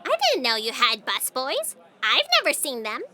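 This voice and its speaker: Woman acting a very high, bubbly young investigator. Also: wildly swooping pitch, moderate pace, pushed and bright, exaggerated voice. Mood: puzzled. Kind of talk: comedic audio drama acting.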